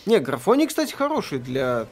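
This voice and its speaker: A man's voice: mid-range voice, huge pitch swings, brisk. A man co-hosting a live game stream, making short, casual remarks.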